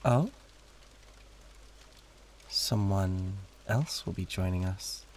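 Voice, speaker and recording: A man's voice: deep voice, very slow, a little hiss or hum. A British man playing a suave, condescending, flirtatious demon in a theatrical roleplay audio drama, with a haughty drawl. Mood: seductive.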